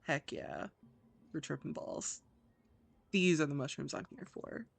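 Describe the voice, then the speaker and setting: A woman's voice: sits low, unhurried, vocal fry, slightly rough. A woman hosting a gaming video with casual, jokey and irreverent gameplay commentary.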